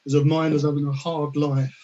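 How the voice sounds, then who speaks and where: A middle-aged man from the UK speaks politely and hesitantly on a panel, with lots of fillers. His voice is dark and mellow. The sound is dull and lo-fi. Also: a little high, little pitch movement, fairly quick. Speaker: panelist, risk management specialist. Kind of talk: online panel discussion. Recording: dull lo-fi audio.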